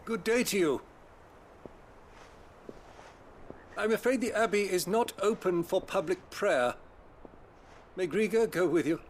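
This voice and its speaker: A man playing a gentle, solemn abbot in a video game, speaking in a courteous, formal and measured manner. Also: high-pitched, a little hiss or hum, close to an everyday voice. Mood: courteous, reserved. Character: gentle, solemn male abbot caring for the dying.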